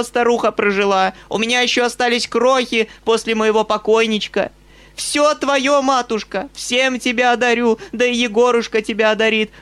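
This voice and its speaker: A man reading an audiobook voices a hysterical old widow who pleads in a shrill, drawn-out wail. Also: fairly bright tone, smooth. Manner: shrill, sobbing, drawn-out wailing.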